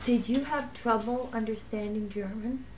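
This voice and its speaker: A woman's voice: fairly high pitch, fairly even intonation, normal speed. A woman interviewer asking brief, direct questions.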